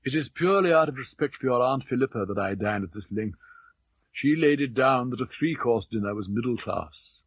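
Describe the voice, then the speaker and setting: A man voicing an eccentric elderly father in an audiobook, mild, plaintive and gently mocking, with British Received Pronunciation. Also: mid-range voice, expressive, fairly quick, breathy edge, no room sound. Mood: slyly menacing.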